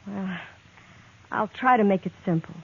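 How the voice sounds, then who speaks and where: A woman's voice: medium pitch, measured pace, husky. Hesitant young woman on a tinny old radio line; earnest, pleading, stumbling over words. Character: young woman, torn and pleading, in love with an outlaw. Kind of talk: 1950s radio drama acting. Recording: tinny vintage radio transcription.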